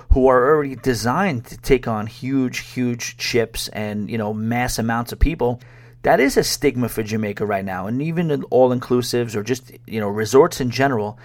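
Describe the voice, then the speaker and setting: A man hosting a solo podcast, talking casually in a wry, sarcastic way with frequent restarts. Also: medium pitch. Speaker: podcast host. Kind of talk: casual solo podcast talk about cruises.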